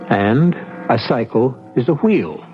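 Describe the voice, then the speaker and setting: A man's voice: medium pitch, expressive, measured pace, lo-fi sound, music in the background. Wry male radio narrator, very varied intonation. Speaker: drama narrator. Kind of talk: scripted old-time radio narration.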